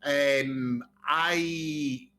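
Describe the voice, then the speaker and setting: A man's voice: a little high, very slow. A man, a guest on a podcast, telling stories candidly and easily. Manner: relaxed, candid storytelling with fillers. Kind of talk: casual podcast chat.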